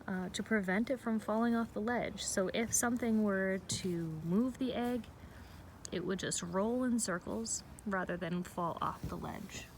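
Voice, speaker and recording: A woman teacher reading a picture book aloud to young children, explaining in a measured way with pauses between phrases. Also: medium pitch. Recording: distant mic with faint background noise.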